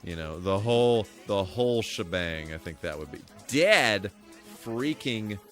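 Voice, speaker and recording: A man's voice: lowish voice, expressive, measured pace, a bit bright. A man doing casual live commentary as he streams a video game, rambling and thinking aloud as he goes. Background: music behind the voice.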